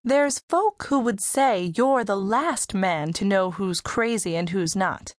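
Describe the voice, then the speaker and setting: A woman's voice: mid-range voice, slow pace, no echo, studio quality, natural voice. A female performer acting an earnest, anxious fourteen-year-old country girl in an audiobook, plain-spoken and worried. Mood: wry.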